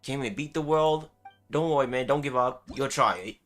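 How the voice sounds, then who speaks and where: A man with a bright, forward voice gives casual live commentary on a video game. He talks to himself in short exclamations and chats with viewers. Faint to clear game music plays behind him. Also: medium pitch, moderate pace. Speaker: game livestreamer.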